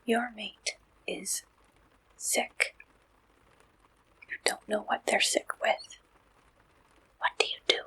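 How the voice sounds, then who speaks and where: Woman doing asmr roleplay as a matchmaker, breathy whisper, really slow and even, asks stuff in short bits. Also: mid-range voice, poor audio. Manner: slow, deliberate questionnaire-style delivery in short phrases. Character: attentive female matchmaker at a werewolf dating service.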